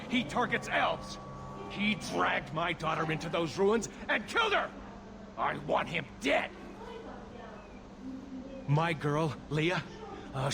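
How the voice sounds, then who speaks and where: A man's voice: a little high, gravelly. An actor playing a grieving, bitter elf merchant in a video game, pleading and indignant about his loss. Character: grieving, bitter male elf merchant father seeking vengeance. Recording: noisy game ambience behind. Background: ambient noise.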